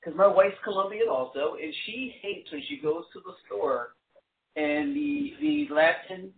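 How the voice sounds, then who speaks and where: A man's voice: high voice, sing-song, unhurried, a little hiss or hum. Chatty male host on a phone line. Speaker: interview host. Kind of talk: casual interview chat. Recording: tinny phone-line sound.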